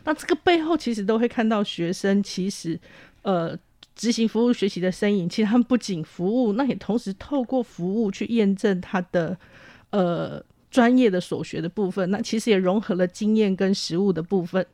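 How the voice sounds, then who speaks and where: A woman's voice: medium pitch, animated, normal speed, warm tone, no room sound, studio quality. A woman with a Taiwanese Mandarin accent explaining things off the cuff in an interview, hesitant and wordy, as university staff.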